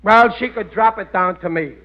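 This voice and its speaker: A boastful working stiff from a 1940s radio sitcom, played by a man, high-pitched and easily indignant. His grammar is rough and his schemes are dim. The recording is thin and tinny. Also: moderate pace, close to an everyday voice. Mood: stubborn, indignant. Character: blustering, stubborn working-class family man, dim schemer.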